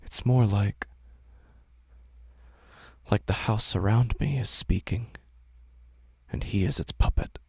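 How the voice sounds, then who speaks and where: A man with a very deep, heavily gravelly voice narrates a horror story in a hushed, slow delivery that often sinks into a whisper. His tone is husky, and the close recording is completely dry. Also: no echo. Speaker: narrator and host.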